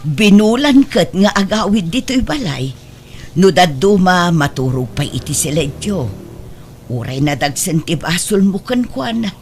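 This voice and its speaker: An actress playing a doting older mother and grandmother, comforting, affectionate and expressive, in an audio drama. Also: fairly low pitch, unhurried, a little hiss or hum. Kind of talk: Ilocano audio drama acting.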